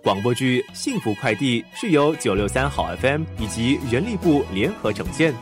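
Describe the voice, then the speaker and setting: Male announcer, mid-pitched, lively scripted sponsor read over clear music bed. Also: moderate pace.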